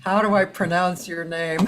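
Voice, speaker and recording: A woman hosting a casual online chat, unhurried and chatty, laughing easily. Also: on the low side, a bit bright, slight background noise. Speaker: host.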